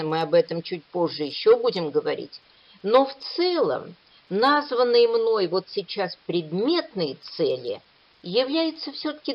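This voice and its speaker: A woman giving a measured, explanatory academic lecture at a conference. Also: medium pitch, gravelly. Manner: measured, explanatory, lively intonation. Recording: dull lo-fi recording.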